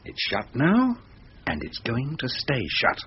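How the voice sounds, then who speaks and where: Radio thriller husband: curt, sardonic, sceptical, extremely animated intonation, slightly muffled sound. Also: male voice, medium pitch, measured pace, gravelly, exaggerated voice. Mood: exasperated. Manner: curt, sarcastic. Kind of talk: thriller radio drama acting. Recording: dull, noisy radio drama recording.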